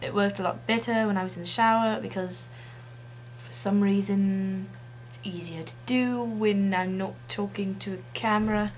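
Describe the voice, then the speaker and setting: A woman chatting casually to camera as a video blogger, halting as she tries out a put-on accent. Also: medium pitch, fairly even intonation, a little hiss or hum.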